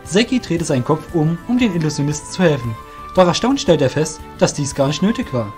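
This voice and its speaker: A man narrates a scripted fantasy audio drama. His voice sits fairly high for a man. Faint music plays behind him. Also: big pitch swings, fairly quick, a little mellow.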